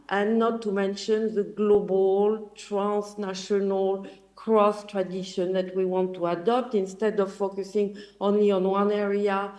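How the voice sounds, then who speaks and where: A woman with a French accent speaking remotely to a panel over a video call, in a measured, earnest way. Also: medium pitch, dark tone.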